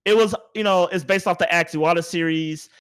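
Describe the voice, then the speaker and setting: Higher-pitched man hosting a casual podcast. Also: a little fast, fairly bright tone, clean tone. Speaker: podcast host. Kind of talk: casual gaming podcast discussion.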